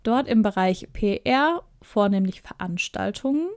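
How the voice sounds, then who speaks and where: A woman's voice: medium pitch, expressive, a little slow, darkish tone, no room sound. Woman, thirty, from the Ruhr area, podcast co-host, enthusiastic, dry recording. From Ruhr area, Germany. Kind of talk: casual podcast chat.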